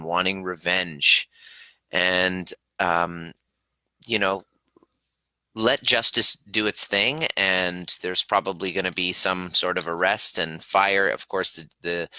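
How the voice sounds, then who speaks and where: A man who grew up in Canada after early years in Iran, hosting a live question-and-answer stream in an earnest, halting way with many restarts. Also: low-pitched, pushed and bright, no room sound. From Iran, raised in Canada. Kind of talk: casual live-stream talk.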